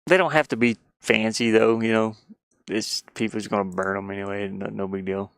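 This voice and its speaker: A man chatting casually on a livestream, rambling and halting, often putting down his own efforts. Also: lowish voice, level tone, gravelly, fairly bright tone, clear, resonant tone, dry recording, clean studio sound. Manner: halting, rambling, self-deprecating. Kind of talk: casual livestream chat while sketching.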